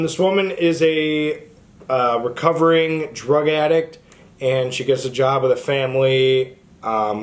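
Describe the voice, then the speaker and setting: A man with an average-pitched voice talks as the host of a book channel. His delivery is informal and conversational, wandering a little as he goes, like someone chatting straight to viewers. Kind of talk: casual book chat to camera.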